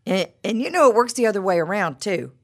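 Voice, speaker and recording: A woman's voice: medium pitch, very animated, fast talker. A middle-aged woman giving a devotional talk with personal stories, her voice froggy from a cold, chatty and full of stammered repeats and "you know".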